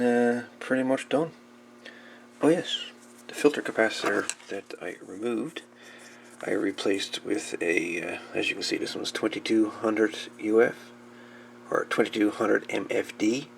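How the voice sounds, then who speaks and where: Male hobbyist repairer, very gravelly, on the low side; unscripted, folksy how-to delivery over faint background noise. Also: steady tone, unhurried. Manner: informal, folksy, calls the radio "her". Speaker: radio repair hobbyist presenting a how-to video. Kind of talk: casual hands-on demonstration talk.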